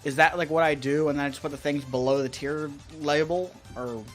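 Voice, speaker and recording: Hesitant, higher-voiced man on a livestream. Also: fairly even intonation, brisk. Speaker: live streamer co-hosting. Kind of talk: casual chat. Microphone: close XLR microphone on a stand. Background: music and keyboard typing.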